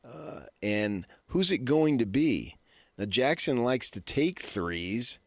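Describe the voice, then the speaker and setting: A man's voice: medium pitch, lively intonation, slow, gravelly, no echo. A man hosting sports radio in an unscripted style, often pushing his points with repeated rhetorical questions. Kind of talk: unscripted sports talk commentary.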